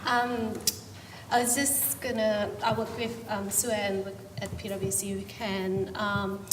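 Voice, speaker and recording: A woman from Malaysia speaking on a panel, hesitant, with many pauses and restarts. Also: mid-range voice, flat delivery. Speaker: panel participant. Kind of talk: panel discussion. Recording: echoey hall, distant mic, noisy.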